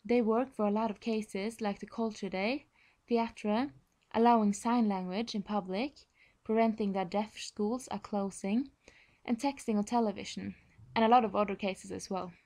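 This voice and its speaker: Norwegian woman doing a prepared english presentation, norwegian accent, medium pitch. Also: fairly even intonation, moderate pace, a little mellow. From Norway.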